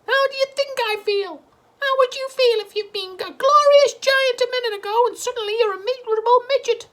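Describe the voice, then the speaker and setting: A furious old grandmother shrunk down small. Her voice is thin, piercing and shrill, and she spits out her words with spite. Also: fairly quick, a bit bright. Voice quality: shrill, shrieking.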